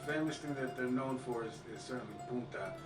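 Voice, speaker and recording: A man in his thirties, originally from Honduras, who speaks with a North American accent as a guest in a relaxed interview, often repeating words as he thinks. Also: mid-range voice, a little fast. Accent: North American English. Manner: hesitant, repeats words, laid-back. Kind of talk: casual interview. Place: indoor office with African decor. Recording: echoey room, mic far off, guitar music behind.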